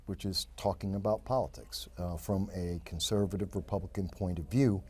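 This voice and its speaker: Deep, lively, rambling man. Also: breathy edge, no echo. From New York. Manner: lively, rambling, with quick asides. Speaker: interview guest. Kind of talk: casual interview storytelling.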